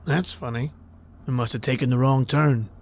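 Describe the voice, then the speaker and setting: A cold, controlling killer on the run played by a male actor in a vintage radio thriller. His voice is mid-pitched, and he talks in terse, tough-guy phrases with quiet menace. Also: fairly even intonation, normal speed, lightly acted. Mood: casual. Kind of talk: old-time radio crime drama acting.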